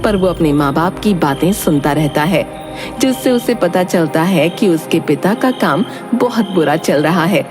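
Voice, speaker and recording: Lively female storyteller over music. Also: on the low side, measured pace. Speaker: story narrator. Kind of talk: scripted storybook narration.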